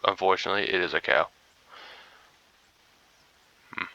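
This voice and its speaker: A man's voice: low-pitched, steady tone, moderate pace, vocal fry, bright, forceful tone, highs cut off. A young man casually commentating a hunting game, groggy and rambling as if he just woke up. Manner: laid-back, rambling, mumbly asides. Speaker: gaming streamer.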